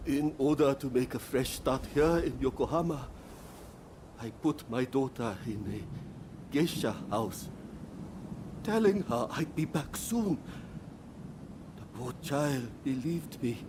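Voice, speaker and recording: A humble, ailing beggar in a game drama. He pleads slowly and haltingly, weighed down by remorse. Faint ambient noise sits behind him. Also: mid-range voice, animated. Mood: sorrowful, regretful. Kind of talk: dramatic video game dialogue.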